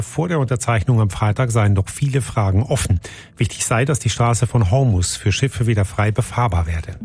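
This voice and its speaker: A man's voice: lowish voice, darkish tone. A man reading scripted radio news bulletins in a formal announcing style. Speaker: radio newsreader.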